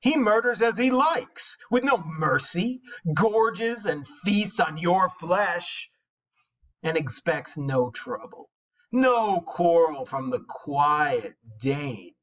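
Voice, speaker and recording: A man voicing a scornful, boastful warrior hero in a dramatic epic poetry reading, declamatory with drawn-out emphasis. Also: high-pitched, expressive, fairly bright tone, full character voice. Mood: scornful, defiant.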